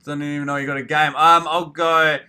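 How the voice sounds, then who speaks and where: A man co-hosting a casual sports podcast, animated and blunt, laughing with his friend. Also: fairly high pitch, fairly quick, clean tone. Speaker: podcast co-host.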